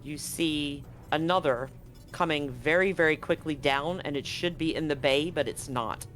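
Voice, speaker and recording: A man working as game master, improvising narration for a tabletop roleplaying game in a halting, deliberate way. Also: on the high side, a bit bright, faint music behind. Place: live-streamed game session.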